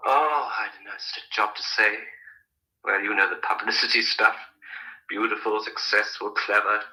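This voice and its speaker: An actor playing an evasive, anguished husband on the run in a radio murder mystery, speaking in emphatic, hesitant bursts. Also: male voice, fairly low pitch, normal speed, bright, forceful tone, poor audio. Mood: confiding, regretful. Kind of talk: radio drama acting.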